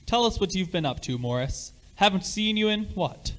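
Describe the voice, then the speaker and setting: A man's voice: sits high, animated, normal speed, fairly bright tone. A man playing a sociable middle-aged father in an amateur radio play, with affable, old-fashioned phrasing. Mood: welcoming.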